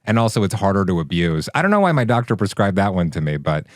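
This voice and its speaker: Wry young man with a low voice. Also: sing-song, fast, no echo, studio quality. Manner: wry, teasing. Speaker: podcast co-host. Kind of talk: casual chat.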